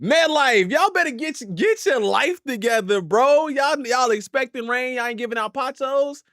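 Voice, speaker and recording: A man's voice: very high-pitched, lively intonation, normal speed, a bit bright, no echo, studio quality. A man reacting to clips with casual, slangy interjections as a host.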